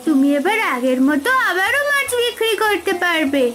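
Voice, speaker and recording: A woman voicing a sweet, clever young village girl in a cartoon fairy tale, in an expressive childlike manner. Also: very high-pitched, moderate pace, very noisy. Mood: excited, grateful. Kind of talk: animated Bengali fairy-tale cartoon dialogue.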